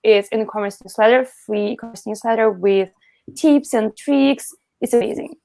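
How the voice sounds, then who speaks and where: A woman in her thirties speaking as a guest on a video call, eager and slightly halting as she explains. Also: on the high side, gravelly, warm tone. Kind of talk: casual video call chat. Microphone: earphone mic on video call.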